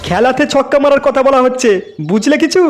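A man voicing an irritable, dismissive husband in a comic cartoon, grumbling in a pitched-up voice. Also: fast. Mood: irritated. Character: irritable cricket-loving husband, dismissive of his wife. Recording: music behind the voice.

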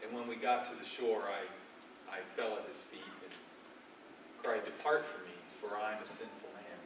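Heard from far off through clear noise, this is an earnest, humbled fisherman apostle played by a man on stage. His low voice delivers a dramatic monologue haltingly, pausing to reflect and then leaning hard on his words. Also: fairly even intonation, natural voice. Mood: awed, reverent. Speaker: stage actor. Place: dark theatre stage, recorded from the audience. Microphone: far camera. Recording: distant, echoey, noisy stage recording.

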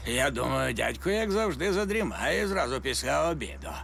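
A man voicing a jovial, slightly pompous father pig in a children's cartoon, hearty and expressive. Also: medium pitch, normal speed, vocal fry, crowd noise. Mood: matter-of-fact.

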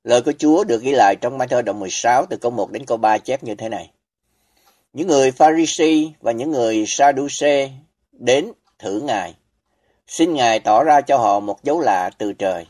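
A man preaching a scripted sermon as a voice-over, reading in a solemn, measured way with emphatic pauses. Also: mid-range voice, darkish tone.

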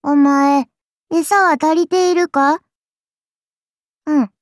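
Childlike serpent-god oni, anime game character, haughty and teasing, archaic lordly speech, unhurried, close dry recording. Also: female voice, sits high, fairly even intonation, no room sound. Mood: indulgent, teasing.

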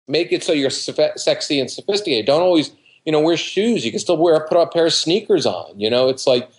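A man in his fifties giving casual, colloquial advice on a video call, emphatic and repetitive, acting out quoted remarks. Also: a little high. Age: 50s. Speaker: dating coach, interview guest. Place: living room at home, indoors. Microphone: computer mic on a video call.

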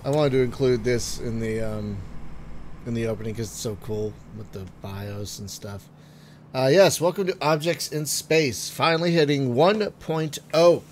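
A man getting over a cold, phlegmy and clearing his throat, chatting casually through a game with many ums and trailing remarks. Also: mid-range voice, unhurried, slight background noise. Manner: chatty, frequent ums and uhs, trailing off quietly. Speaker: gaming video host. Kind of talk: casual let's-play commentary.

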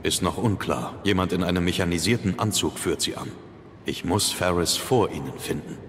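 An actor playing a grave, commanding vigilante in an audio drama, terse and serious. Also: male voice, deep voice, normal speed, slight background noise. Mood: grave, urgent. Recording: loud music under the voice.

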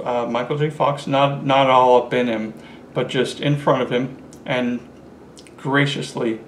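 Chatty man showing off collectibles. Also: medium pitch. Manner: chatty, enthusiastic, holds items up to camera. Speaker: collector vlogger. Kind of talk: casual show-and-tell vlog. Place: small indoor room with curtains. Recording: roomy echo, faint room hiss.

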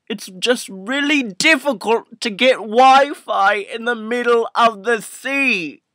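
A man in his twenties telling a comic story to camera as a vlogger, halting and mock-emotional, swelling and hushing, close to a webcam mic in a small room. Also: high-pitched, gravelly, dry recording. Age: 20s. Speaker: video blogger. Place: small furnished room indoors. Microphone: built-in webcam mic up close.

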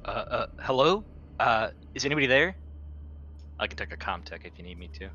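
A man's voice: lowish voice, very animated, brisk, bright, forceful tone, slight background noise. A man bantering casually as a player in a tabletop roleplaying game. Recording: dull lo-fi sound, faint music.

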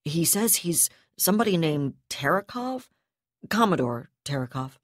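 A woman's voice: deep voice, big pitch swings, measured pace, dry recording, studio quality, full character voice. A woman voicing a nervous, deferential male communications tech. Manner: hesitant, deferential.

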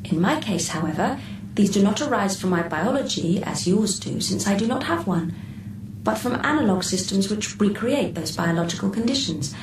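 A woman's voice: mid-range voice, lively intonation, normal speed, lightly acted. A woman playing a poised, enigmatic artificial intelligence in a radio drama, with formal and precise phrasing. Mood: measured, precise. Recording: echoey, distant voice with background noise.

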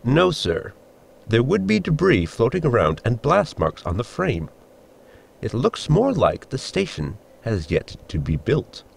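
An actor playing a dry, dutiful ship robot servant with a formal, precise, deadpan manner in a sci-fi comedy audio drama. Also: male voice, mid-range voice, unhurried, creaky, slightly rough, full character voice. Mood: precise, deferential.

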